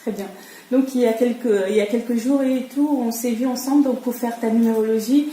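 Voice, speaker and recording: A woman working as a numerologist, interviewing a client in a calm, halting way with fillers. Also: fairly high pitch, echoey room, a little hiss or hum, poor audio. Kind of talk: casual interview chat.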